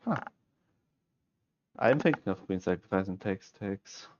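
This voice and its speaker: A young man from Switzerland, German his first language, speaks English with a Swiss German accent. He thinks aloud in a halting, musing way, correcting himself as he goes, his voice sitting a little low. The headset audio sounds dull and lo-fi, with faint hiss underneath. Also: animated. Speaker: chess streamer. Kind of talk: thinking aloud while solving chess puzzles, chatting with viewers. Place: small room at home, indoors.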